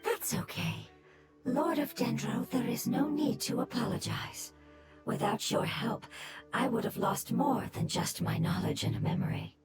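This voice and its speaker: A woman voicing a gentle, sorrowful creature longing for its homeland in a video game, speaking formally and solemnly with breath that often sinks toward a whisper. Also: fairly high pitch, lively intonation. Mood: reassuring, grateful. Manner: formal, solemn, slow and deferential. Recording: music behind the voice. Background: game music.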